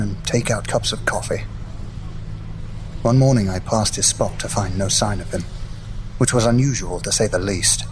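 A man's voice: on the low side, background noise, close to an everyday voice. An actor playing a curious, sympathetic man who narrates a gothic tale in an audio drama. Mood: concerned, curious.

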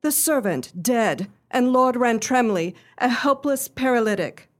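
Young gentlewoman acted by a woman, radio play, higher pitch, earnest, formal, measured storytelling. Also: animated. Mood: earnest, grave. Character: earnest, genteel young lady client recounting a tragedy.

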